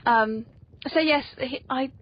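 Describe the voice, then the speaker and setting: A woman's voice: high voice, slow pace, a little hiss or hum. A woman hosting a casual, playful interview chat, talking in a self-deprecating way. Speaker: podcast host and author. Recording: dull lo-fi podcast audio.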